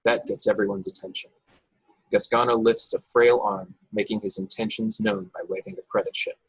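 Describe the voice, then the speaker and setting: Low, husky male script narrator. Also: very animated, moderate pace, dry recording, poor audio. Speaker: narrator reading stage directions. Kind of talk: scripted narration at a table read. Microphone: video call, close and dry.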